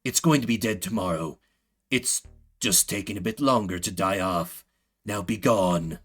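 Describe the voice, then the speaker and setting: A man's voice: on the low side, moderate pace, a bit bright, breathy edge, voice pushed down. A man voicing an aloof, irritable villainous creature from a video game, dry, curt and dismissive.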